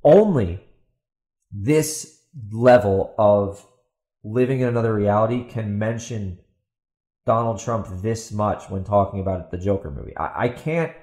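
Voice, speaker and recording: A man's voice: lowish voice, a little slow, dark tone, echoey room. A man hosting a podcast, giving opinionated commentary in a sarcastic, emphatic way and repeating himself for stress. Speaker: podcast host and author.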